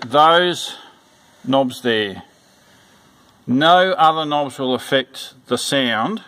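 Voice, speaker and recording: A man giving an informal, unscripted instructional walkthrough in a plain-spoken, halting way, repeating his instructions. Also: mid-range voice, fairly bright tone. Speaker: church sound system instructor. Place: indoors in a church.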